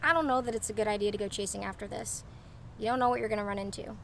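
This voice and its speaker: A young American woman played by an actress with a medium-pitched voice, candid and wary as a small-town local in an audio drama. There is faint noise in the recording. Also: fairly even intonation, rapid-fire, vocal fry, natural voice. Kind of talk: naturalistic audio drama dialogue.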